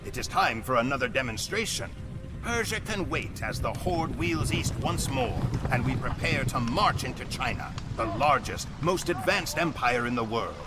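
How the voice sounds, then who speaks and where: A proud steppe warrior telling his Khan's story for a strategy game cutscene. His somewhat high male voice rises and falls dramatically, savouring every grim phrase with solemn theatricality. Music plays underneath. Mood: grimly boastful. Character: proud, grim Mongol horse warrior chronicling his Khan. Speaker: campaign narrator.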